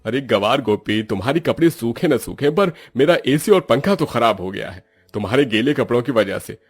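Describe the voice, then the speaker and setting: Man playing a husky, exasperated young husband. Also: mid-range voice, lively intonation, normal speed, faint music behind. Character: exasperated young husband, scolding yet protective. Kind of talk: dramatized Hindi moral story dialogue.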